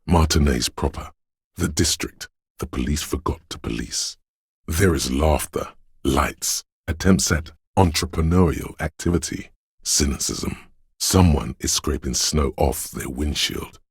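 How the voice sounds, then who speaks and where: An actor playing a brooding inner voice of a desolate wintry city, narrating a literary game in a gravelly, husky bass with a measured, solemn and ominous manner. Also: male voice, no room sound, clean studio sound, exaggerated voice. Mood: solemn, elegiac. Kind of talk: slow literary second-person game narration.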